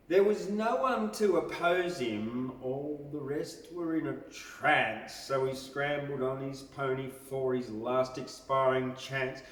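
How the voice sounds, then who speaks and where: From some way off in a hall with long reverb, a man recites a bush ballad. He takes his time over the verse, delivering it slowly and with emphasis like a storyteller. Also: medium pitch. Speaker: poetry reader. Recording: echoey hall, distant mic.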